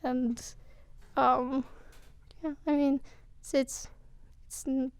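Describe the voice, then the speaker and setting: A young woman, an actor, talking reflectively in an interview in a halting, thoughtful way. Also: sits high, little pitch movement, a little mellow, clear, resonant tone, no echo. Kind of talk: reflective interview talk about a production.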